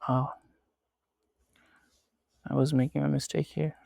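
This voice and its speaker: A man from Pakistan, not a native English speaker, narrating a screen walkthrough with slow, methodical step-by-step instructions. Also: on the high side, level tone, gravelly, a little mellow, no room sound, studio quality. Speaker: tutorial presenter.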